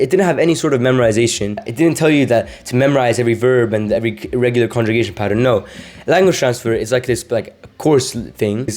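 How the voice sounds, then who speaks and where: A man's voice: mid-range voice, darkish tone. Rambling young man from Sweden. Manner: rambling, with many fillers and restarts. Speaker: language learning vlogger. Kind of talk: casual storytelling to camera.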